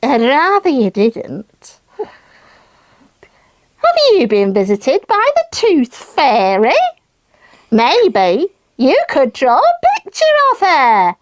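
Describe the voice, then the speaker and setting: High, slow, lively woman presenter. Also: no room sound. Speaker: kids' show presenter. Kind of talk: scripted children's presenting.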